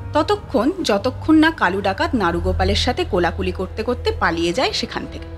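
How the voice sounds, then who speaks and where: A woman narrating a scripted ghost story in a lively, wry storytelling manner. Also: fairly high pitch. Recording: sitar music bed behind.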